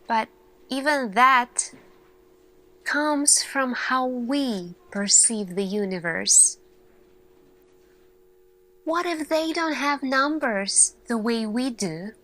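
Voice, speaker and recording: A woman playing an earnest lead scientist in an ASMR roleplay, speaking deliberately in short phrases with dramatic pauses. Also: a little high, animated, sharp tone, low-quality recording. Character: earnest female lead scientist addressing her team. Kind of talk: slow ASMR roleplay monologue.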